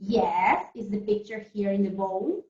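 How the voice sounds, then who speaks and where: A woman's voice: medium pitch, measured pace, dark tone. A woman primary school teacher leading an online lesson for children, explaining things simply and often checking that they follow. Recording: echoey room, distant muffled mic.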